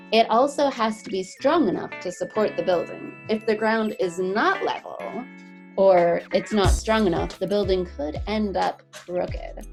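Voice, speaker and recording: A woman reading a picture book aloud to young children in an animated, encouraging way, explaining things simply as a teacher would. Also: mid-range voice.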